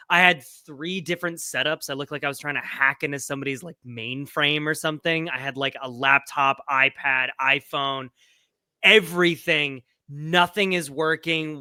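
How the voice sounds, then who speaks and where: A man's voice: a little high, bright, forceful tone, clear, resonant tone, dry recording, clean studio sound. A man co-hosting a sports podcast, chatting casually with wry, exaggerated grumbling. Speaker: podcast co-host.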